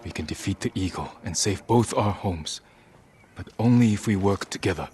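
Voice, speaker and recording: An actor playing a stern, resolute samurai in a video game, speaking formally and with measure. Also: male voice, low voice, normal speed, a little mellow, breathy edge. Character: stern, resolute, honourable male samurai warrior. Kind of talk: video game cutscene acting.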